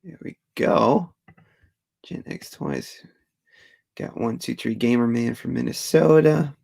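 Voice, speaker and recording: Gravelly man chatting on a livestream. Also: medium pitch, slow pace, a little mellow. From Belgium. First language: Dutch. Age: 30s. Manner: chatty, chuckles often, restarts words. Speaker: host of a live Q&A stream. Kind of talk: casual livestream chat reading viewer comments.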